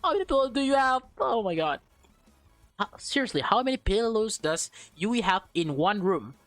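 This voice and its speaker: A woman doing a let's play, reading a visual novel aloud with expressive delivery, casual reacting asides and the occasional stumble over words. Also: medium pitch, normal speed, no echo, soft background music.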